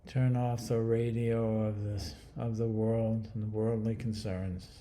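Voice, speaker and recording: A man leading a guided meditation in a calm, hushed, soothing manner with long pauses. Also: on the low side, slight background noise. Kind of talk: guided body scan meditation.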